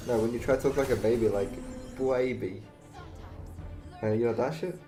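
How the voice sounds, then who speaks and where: Young man streaming with loud game music. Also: medium pitch, normal speed. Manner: playful, pushy questions. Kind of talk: casual gaming banter. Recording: game music over voice chat.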